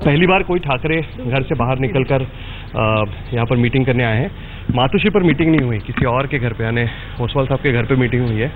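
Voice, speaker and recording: A man's voice: mid-range voice, soft background music. Male reporter, tinny field audio. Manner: halting, searching phrasing. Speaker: reporter interviewing. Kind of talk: field interview questions.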